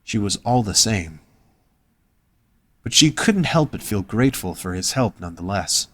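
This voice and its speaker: Casting: male story narrator, lower register, dry recording, reading scripted prose. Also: animated, normal speed, no room sound.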